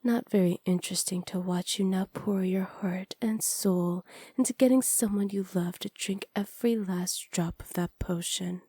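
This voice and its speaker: A woman playing a flirty, cruel evil witch in a soft ASMR roleplay, teasing and smug, close to the mic. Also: medium pitch, fairly even intonation, breathy edge, no room sound. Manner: teasing, smug, unhurried, often dropping to near-whispers. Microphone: close microphone, dry.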